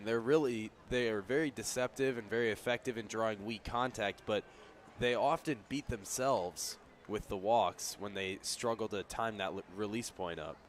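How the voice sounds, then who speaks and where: A man giving conversational, explanatory color commentary on a live baseball radio broadcast. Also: medium pitch. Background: outdoor ballpark ambience.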